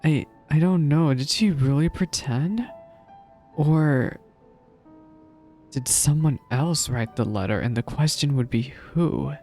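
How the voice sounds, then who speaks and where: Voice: medium pitch, takes it slowly, mellow. A game streamer giving casual live commentary, musing aloud in a doubtful way. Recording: game music behind voice.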